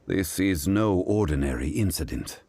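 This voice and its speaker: An actor playing a calm, wise man in a video game, speaking formally and deliberately. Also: sits low, slight background noise. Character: ancient composed man, wise and measured.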